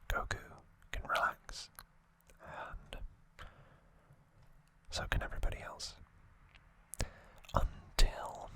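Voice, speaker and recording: An ASMR host whispering a recap of trivia in a breathy, unvoiced voice, with halting, informal asides. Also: pushed and bright.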